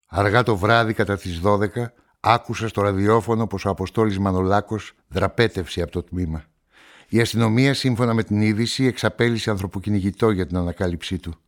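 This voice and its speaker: An actor playing a brooding, lovelorn narrator of a radio crime story, measured and reflective. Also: male voice, on the low side, natural voice. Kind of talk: scripted first-person radio drama narration.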